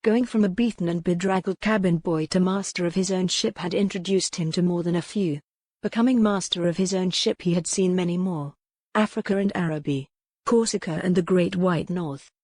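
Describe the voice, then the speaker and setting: Woman, lower-pitched voice, audiobook narrator, scripted historical romance, close dry recording. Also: brisk, darkish tone, no echo.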